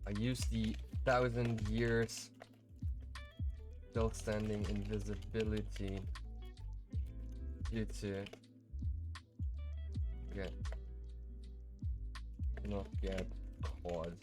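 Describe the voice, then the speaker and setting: A man's voice: lowish voice, flat delivery, drawn-out words, pauses often, music in the background. A tired man hosting a casual live tutorial, thinking aloud in a rambling, self-deprecating way. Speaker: livestream host.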